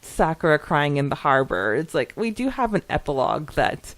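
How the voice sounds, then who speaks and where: A woman's voice: low voice, very animated, moderate pace. A woman co-hosting a podcast in a giggly, chatty way, moving between casual talk and reading comments aloud. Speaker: podcast co-host.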